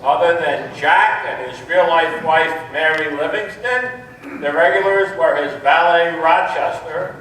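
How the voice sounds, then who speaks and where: A man's voice: a little high, fairly bright tone. A man narrating a scripted, nostalgic old-time radio show as its announcer. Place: echoey hall, far from the microphone. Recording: distant, very echoey stage, faint noise.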